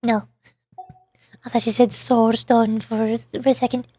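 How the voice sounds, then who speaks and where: A woman's voice: fairly high pitch, flat delivery, unhurried, warm tone, breathy edge. A woman streamer chatting her way through a live game, rambling and stumbling as she explains things. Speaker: VTuber streamer. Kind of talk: live game stream commentary with read-aloud voice acting.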